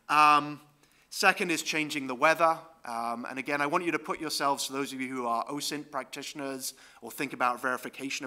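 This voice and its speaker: Male conference presenter, mid pitch, sharp bright voice, explanatory, lots of ums. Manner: explanatory, with frequent ums and tag questions. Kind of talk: conference talk with slides.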